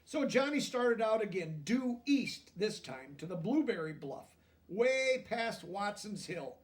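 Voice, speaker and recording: High-voiced man reading a storybook, distant mic. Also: very animated. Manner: deliberate reading broken by softer, plain-worded explanations. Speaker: storybook reader. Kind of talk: children's picture book read-aloud with simple explanatory asides.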